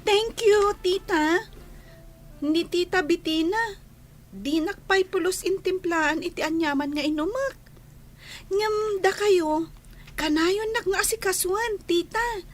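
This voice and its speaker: A woman playing a shy, sweet little girl who speaks hesitantly in an Ilocano radio drama. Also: very high voice. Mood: sweet, affectionate. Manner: hesitant, slow.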